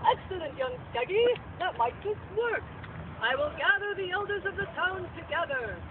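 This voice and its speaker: A very high-voiced, kindly holy man in a puppet show, played by a live actor. He is earnest and theatrical, prone to pleading exclamations. The sound is tinny, with clear noise outdoors. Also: lively intonation, normal speed. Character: kindly, earnest good brother, a Viking-era clergyman puppet. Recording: tinny, noisy outdoor stage recording.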